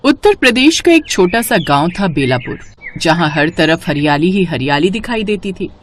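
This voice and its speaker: Female narrator for cartoon moral tales; highly animated, expressive delivery, faint background noise. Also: normal speed. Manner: expressive storytelling. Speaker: story narrator.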